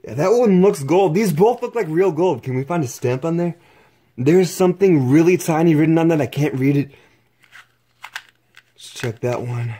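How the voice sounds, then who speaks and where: A young man narrating his own exploration vlog, excitedly exclaiming over what he finds while filming up close with a handheld camera. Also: fairly high pitch, normal speed, husky. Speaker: exploration vlogger.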